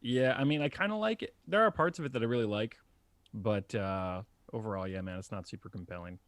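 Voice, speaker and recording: A man's voice: on the low side, a little fast, fairly bright tone, no echo. A man co-hosting a podcast, talking casually and giving opinions with some swearing. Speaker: podcast co-host. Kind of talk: casual chat.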